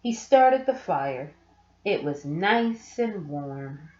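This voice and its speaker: Animated, unhurried woman reading a storybook. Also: low-pitched. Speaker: read-aloud teacher. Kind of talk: expressive storybook narration for children. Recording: roomy, slightly dull home recording.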